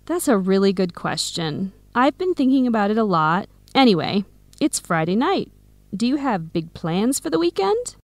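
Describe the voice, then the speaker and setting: A woman's voice: mid-range voice, sing-song, moderate pace, no room sound, clean studio sound. A woman acting a friendly, supportive young friend in a conversational radio drama scene. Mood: curious.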